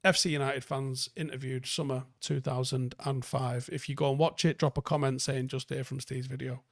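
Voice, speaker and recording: A man with a softened Manchester, northern English accent, chatting casually and bluntly as a livestream host answering viewer comments, with plenty of swearing. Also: mid-range voice, little pitch movement, normal speed, vocal fry, no room sound, studio quality.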